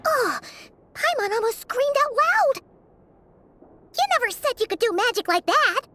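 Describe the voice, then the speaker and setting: Tiny fairy girl, extremely high squeaky voice, lively pitch swings, chirpy, expressive, video game companion. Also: takes it slowly, long pauses, slight background noise, exaggerated voice. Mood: excited. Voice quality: squeaky, childlike. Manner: chatty, expressive, exclaiming. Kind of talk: video game character acting. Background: music.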